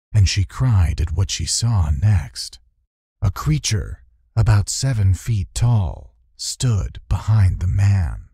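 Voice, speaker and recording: A low-voiced man reads a children's fantasy tale with theatrical suspense. His delivery is unhurried and very husky, with lively swings in intonation. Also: no echo, clean studio sound. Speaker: audiobook narrator. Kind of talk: dramatic scripted children's fantasy narration.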